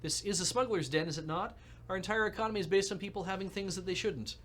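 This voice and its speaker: A man reading aloud the lines of a polite, wry Russian drone engineer, with a faint Russian accent and formal, measured speech. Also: high-pitched, fairly bright tone, a little hiss or hum, pitched above the performer's own voice. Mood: wry, courteous.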